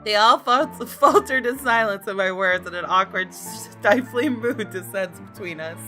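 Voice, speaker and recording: A woman hosting a gaming video, commenting on a visual novel and reading its narration aloud in an expressive, dramatic way. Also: medium pitch, unhurried. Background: game music.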